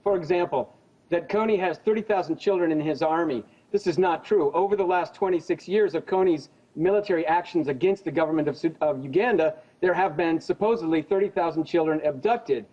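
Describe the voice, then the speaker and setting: A man's voice: fairly high pitch, brisk, a little mellow. An American man giving opinionated commentary as a news interview guest, speaking in an emphatic, accusatory and insistent way. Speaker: interview guest, genocide investigator. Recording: dull lo-fi audio.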